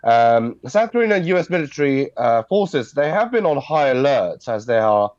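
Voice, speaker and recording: A man with a stuffy, congested voice, briefing on the news over a video call, steady and explanatory with many fillers. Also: mid-range voice, normal speed, no room sound, dull audio. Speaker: news correspondent.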